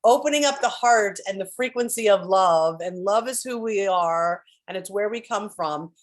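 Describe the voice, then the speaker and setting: A woman with a deep voice telling a rambling story on a video call from home, with many restarts and throat clearing. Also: normal speed. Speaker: spiritual group member sharing updates. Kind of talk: casual chat on a group call. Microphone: computer on a video call.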